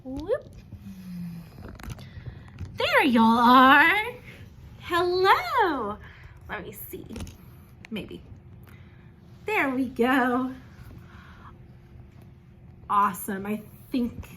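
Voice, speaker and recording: A woman's voice: high-pitched, sing-song, very slow, long pauses, sharp tone, a little hiss or hum. A woman teaches young children step by step, asking questions and encouraging them as she goes. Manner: encouraging, asks questions and praises listeners as if they answer; simple step-by-step explaining. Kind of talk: interactive children's lesson, talking to camera.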